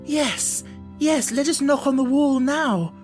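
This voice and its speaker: A woman voicing an imaginative, kind young girl with an excited, earnest manner in an audiobook. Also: high-pitched, takes it slowly, faint music behind, voice pushed up. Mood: excited, playful. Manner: excited, earnest, make-believe playfulness. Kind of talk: audiobook character acting.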